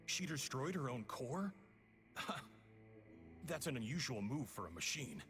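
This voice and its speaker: A man's voice: mid-range voice, very animated, measured pace, fairly bright tone, soft background music. A man voicing a cocky, defiant male agent in a video game, with sardonic, theatrical delivery. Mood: wry. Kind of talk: dramatic video game voice acting.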